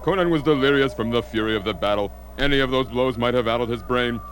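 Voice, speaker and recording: A man's voice: medium pitch, fast talker, a bit bright, faint music behind, close to an everyday voice. A man playing a stern, skeptical Norse warrior in an audio drama, sober and admonishing. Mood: dismissive.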